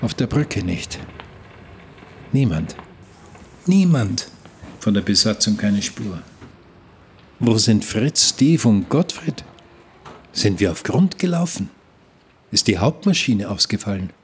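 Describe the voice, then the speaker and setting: Radio drama role: bewildered seafarer alone aboard, dreamlike and slow, low register. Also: male voice, very animated, a little hiss or hum, heavily acted. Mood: uneasy, searching. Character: bewildered male ship traveller, half dreaming, alone aboard. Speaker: actor in radio play. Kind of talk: slow, fragmented radio play monologue.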